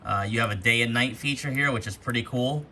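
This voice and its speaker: A man with a very bright, forceful, mid-pitched voice talks through equipment features in an informal, explanatory way. He sounds like a casual demo host, recorded in an attic with some light background noise. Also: fairly quick. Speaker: host demonstrating radio gear. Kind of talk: casual hands-on product walkthrough. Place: attic, with rain outside.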